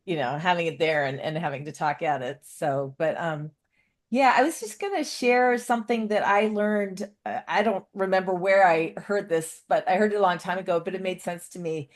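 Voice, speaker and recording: A woman's voice: lowish voice, normal speed. A woman taking part in a seminar discussion, sharing advice in an earnest, rambling, conversational way. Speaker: seminar participant. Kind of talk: casual discussion.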